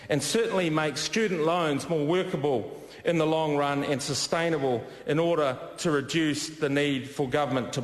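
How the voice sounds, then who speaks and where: A man whose first language is Samoan, giving a formal parliamentary speech, addressing the Speaker as sir and pausing often with fillers. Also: on the high side. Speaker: member of parliament. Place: parliamentary debating chamber. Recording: echoey chamber with long reverb.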